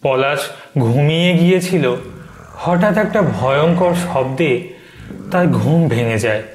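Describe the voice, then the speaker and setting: Male narrator, fairly high voice, scripted horror storytelling, echo, faint background noise. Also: a little slow. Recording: echoey, faint noise and ambience.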